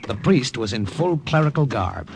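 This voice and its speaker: A man's voice: medium pitch, big pitch swings, normal speed, vocal fry, background noise. A man playing an eager, brash young army sergeant with an American accent, earnest and wry in an old-time radio drama. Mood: narrating.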